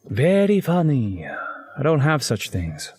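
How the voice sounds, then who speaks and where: Husky, animated young man. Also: a little high, takes it slowly, soft background music, heavily acted. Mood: wry, bemused. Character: haunted, wry young man narrating his eerie life. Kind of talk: visual novel game narration.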